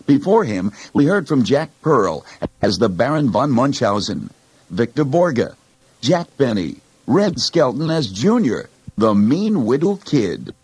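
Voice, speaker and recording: A man's voice: medium pitch, darkish tone, breathy edge, no room sound. A man narrating a scripted comedy compilation as its presenter.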